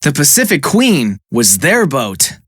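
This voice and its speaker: Woman as a storybook boy, earnest, observant, slightly raspy, wildly swooping pitch. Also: sing-song, slow, a bit bright, dry recording, clean studio sound, heavily acted. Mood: earnest, urgent. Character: observant, level-headed young boy.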